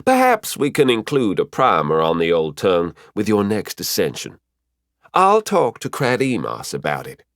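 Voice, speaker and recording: A man's voice: lowish voice, wildly swooping pitch, normal speed, fairly bright tone, dry recording, studio quality, heavily acted. A man voicing a cheerful, teasing female spirit mentor in an audiobook, chatty and fond as she explains things. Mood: explaining. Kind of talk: audiobook character voice.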